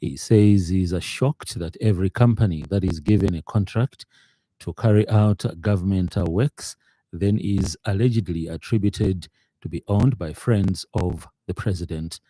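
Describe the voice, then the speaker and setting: A man with a Zambian accent reading a scripted radio news bulletin in a measured, formal, slightly hesitant way. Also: low voice, warm tone, no room sound. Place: radio studio.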